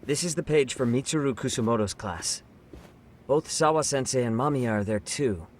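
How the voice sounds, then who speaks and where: An actor playing a young investigator sidekick in an English game dub, informal and full of questions. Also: male voice, medium pitch, normal speed, slight background noise, lightly acted. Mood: casual, helpful. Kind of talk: English video game dub cutscene acting.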